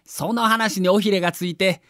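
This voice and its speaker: A man's voice: high voice, fairly even intonation, moderate pace, a bit bright, dry recording, studio quality. A man reading a scripted documentary narration with a theatrical storyteller's delivery.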